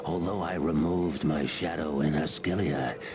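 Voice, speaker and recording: An actor playing a brooding, ominous man haunted by his past in a video game, speaking gravely. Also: rumbling low, fairly even intonation, noisy background. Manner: grave, measured. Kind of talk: dramatic video game monologue.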